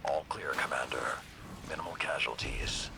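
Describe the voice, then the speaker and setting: Very deep gravelly stern soldier. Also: male voice, moderate pace. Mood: businesslike. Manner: clipped, stern military reports. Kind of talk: video game radio chatter. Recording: loud engine-like noise behind.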